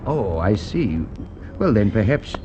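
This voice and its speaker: A man's voice: lowish voice, very animated, a little slow, mellow, dull audio, slight background noise. An actor playing a fussy, indignant male shop proprietor, in vintage radio drama style. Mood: courteous, obliging. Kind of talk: Golden Age radio drama acting.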